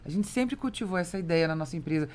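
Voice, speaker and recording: A woman's voice: lowish voice, animated, quick pace, a little mellow. A Brazilian woman hosting a business podcast in a motivational, emphatic conversational way. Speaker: podcast host, business owner.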